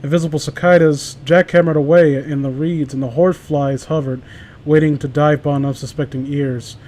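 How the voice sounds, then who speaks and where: A man's voice: on the high side, steady tone, fairly quick, a little mellow. A man chatting casually among friends and reading stories aloud, stumbling over words as he reads. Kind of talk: casual group banter and amateur story reading.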